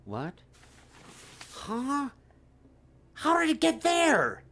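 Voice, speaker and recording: An actor playing a defensive, wistful middle-aged retired stage magician and husband in an audio drama, speaking haltingly. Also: male voice, very high-pitched, huge pitch swings, a bit bright, exaggerated voice. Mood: rattled, stubborn.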